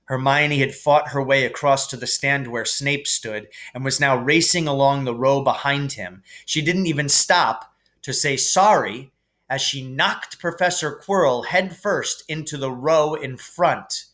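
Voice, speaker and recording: Guy reading a storybook out loud, unhurried, expressive, medium pitch. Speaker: reader.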